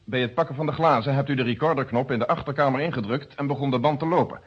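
An actor playing a stern, methodical police inspector in a radio drama, speaking in an insistent, precise and formal way. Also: male voice, mid-range voice, fast, slightly rough, close to an everyday voice. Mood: confident, accusing. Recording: dull muffled old radio drama recording.

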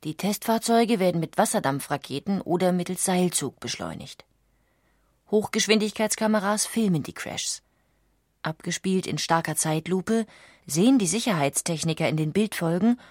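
Female documentary narrator, lowish pitch, breathy husky tone, measured and factual, dry studio-close sound. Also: darkish tone, dry recording. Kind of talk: scripted documentary audiobook narration.

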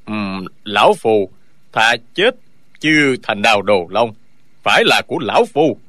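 In this audiobook, a man voices a stubborn old man whose bright, forceful voice quavers and swings widely in pitch. He sounds greedy and grasping. The recording is dry and close. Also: very animated, unhurried, no echo, full character voice. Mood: quavering. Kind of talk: audiobook character dialogue.

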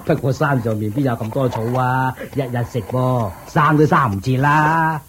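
A man's voice: mid-range voice, normal speed, fairly bright tone, a little hiss or hum, close to an everyday voice. A man with a Hong Kong Cantonese accent playing a thrifty, sly royal chancellor in a radio drama, coaxing and explaining in a placating way. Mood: placating, dismissive. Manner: chuckling, coaxing, explaining patiently.

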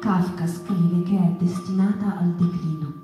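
A woman performing theatrical storytelling narration in measured, deliberate short phrases. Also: fairly low pitch, fairly even intonation. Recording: echoey, distant stage sound with music.

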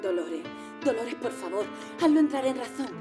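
An actress playing a desperate young-sounding sister in a war audio drama, urgent and imploring. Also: female voice, on the high side, animated, moderate pace, music in the background, natural voice. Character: desperate, begging anarchist sister shut outside.

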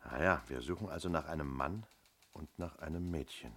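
An actor playing a dryly thoughtful police inspector, deliberate and probing, in a 1970s radio play. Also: male voice, rumbling low, fairly bright tone, slightly rough, heavily acted. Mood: dry, pondering.

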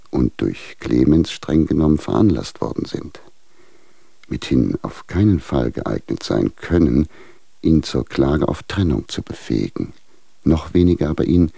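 An actor playing a methodical legal adviser who reads a formal letter in dry, pedantic legalese in a radio drama. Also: male voice, a bass voice, creaky, mellow, breathy edge, dry recording, full character voice.

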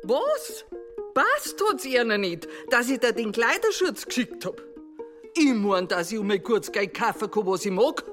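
A woman's voice: a little high, very animated, a little slow, music in the background. An actress playing a blunt, commanding, middle-aged innkeeper mother in a radio drama, with a Bavarian accent. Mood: indignant. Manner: blunt, commanding dialect speech.

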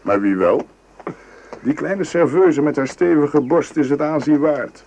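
An actor playing a confident, worldly French imperial guard lieutenant in a radio play, jovial and self-assured. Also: male voice, fairly high pitch, expressive, unhurried, darkish tone, a little hiss or hum. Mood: genial, rakish.

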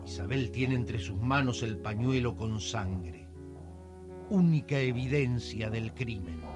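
Slow, theatrical Argentine male narrator. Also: mid-range voice. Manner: theatrical, measured. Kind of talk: dramatic radio serial narration. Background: music.

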